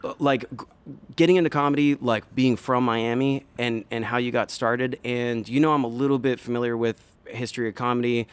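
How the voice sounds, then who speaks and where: A man's voice: mid-range voice, fairly even intonation, brisk, smooth. A middle-aged man hosting a casual podcast, laid-back and chummy, calling his guest "dude". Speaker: podcast host.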